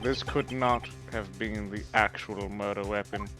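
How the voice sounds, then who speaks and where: A man voicing a cool, proud prosecutor in a live fan dub, with a measured, haughty delivery. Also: lowish voice, fairly even intonation, music in the background, lightly acted. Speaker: fan voice actor.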